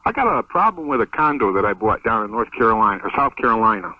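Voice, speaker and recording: American guy calling a radio show, kinda low voice, halting, sounds far away on a muffled phone. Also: lively intonation. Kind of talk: conversational phone call. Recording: dull, distant phone line on radio.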